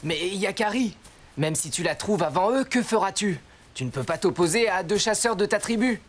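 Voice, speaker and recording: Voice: mid-range voice, lively intonation, normal speed, slight background noise, lightly acted. A performer voicing a loyal, sensible, cautious young pony who questions things in a French cartoon dub. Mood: worried. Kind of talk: French animated series dubbing.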